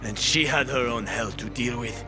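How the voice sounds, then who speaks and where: A man's voice: medium pitch, normal speed, close to an everyday voice. An actor playing a cynical, bitter man cornered in a zombie apocalypse, curt and sardonic, in a video game cutscene. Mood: agitated, defensive. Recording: music under the voice.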